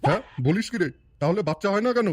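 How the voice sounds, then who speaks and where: Man playing cartoon blustering man, high voice, rapid delivery, bossy, crude. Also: lively intonation. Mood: pompous, scheming. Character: pompous lecherous fake holy man, later grumbling foul-mouthed father. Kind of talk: comic cartoon dubbing.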